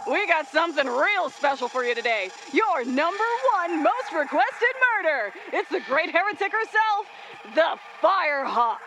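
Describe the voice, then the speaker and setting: A woman's voice: high voice, expressive, normal speed, background noise. A woman acting a cocky young cult-leader villain in a video game, taunting and theatrical like a showy streamer. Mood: gleeful, showboating. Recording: loud music behind the voice.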